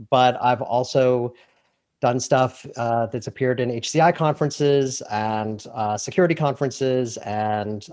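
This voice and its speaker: A man from Florida, a professor, introducing himself on a video call with jokes and many fillers. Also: mid-range voice. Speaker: professor, panelist. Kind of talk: panel introduction.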